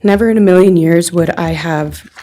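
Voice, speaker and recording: A woman's voice: on the low side, moderate pace, dark tone. A young woman reading a written personal statement aloud in a courtroom on someone else's behalf. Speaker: friend reading a victim's statement on their behalf.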